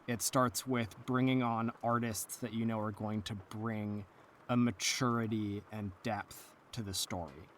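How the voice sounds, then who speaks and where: A man's voice: lowish voice, fairly even intonation, measured pace, a little hiss or hum. A man talking casually in an interview as an audio drama producer explaining the work.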